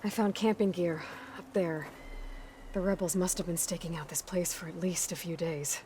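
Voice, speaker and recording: A woman's voice: fairly low pitch, steady tone, normal speed, dark tone, a little hiss or hum, lightly acted. An actress voicing a determined young woman hunter in a video game cutscene, speaking in a focused, decisive and analytical way.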